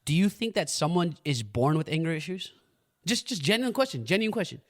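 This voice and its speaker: A man's voice: on the high side, sing-song, brisk. A young man from the United States hosting a casual family podcast, teasing and switching between English and Hindi. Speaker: podcast host. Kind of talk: casual chat.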